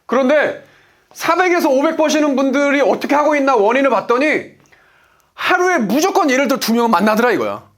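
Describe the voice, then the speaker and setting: Animated high-voiced man lecturing. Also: fairly quick. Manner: emphatic, asks rhetorical questions and answers them. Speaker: sales business coach. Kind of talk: motivational lecture.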